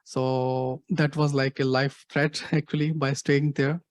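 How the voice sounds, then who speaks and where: A middle-aged man with an Indian accent answering audience questions in a halting way, full of fillers and repeated "actually". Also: medium pitch, flat delivery, dry recording, clean studio sound. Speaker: guest speaker, activist.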